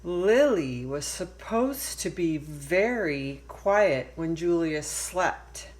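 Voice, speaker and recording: A woman reading a children's picture book aloud in a deliberate way, pausing for emphasis. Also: fairly low pitch, animated, a little mellow. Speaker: storybook reader.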